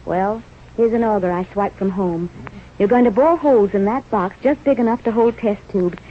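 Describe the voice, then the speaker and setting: An actress playing a plucky, devoted young wife with frank, stubborn talk in a vintage radio drama. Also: female voice, mid-range voice, normal speed, natural voice. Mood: tender, admiring. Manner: frank, firm, plain small-town talk. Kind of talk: 1930s radio drama acting. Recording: tinny, noisy old radio recording.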